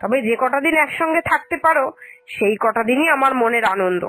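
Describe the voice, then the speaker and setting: A woman's voice: sits high, expressive, fairly quick, fairly bright tone. A woman voicing an aggrieved elderly mother-in-law in a cartoon, speaking in a plaintive, lamenting way. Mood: relieved but doubtful. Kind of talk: Bengali cartoon dialogue acting.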